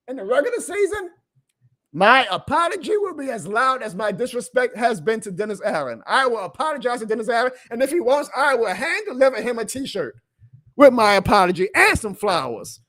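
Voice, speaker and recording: A man hosting a live sports reaction stream, excitable and emphatic, his voice going hoarse. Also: extremely high pitch, no echo. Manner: excitable, emphatic, plugs merch, reads chat aloud. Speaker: sports fan livestream host.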